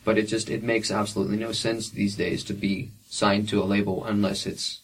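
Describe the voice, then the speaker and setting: A man's voice: fairly low pitch, little pitch movement, fairly quick, slightly rough, distant mic, low-quality recording. A young man and independent musician answering interview questions frankly, with plenty of fillers and restarts.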